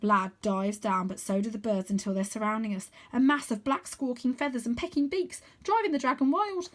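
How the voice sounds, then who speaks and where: High-voiced woman reading a children's story. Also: brisk. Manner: dramatic, animated emphasis. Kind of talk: expressive children's book narration.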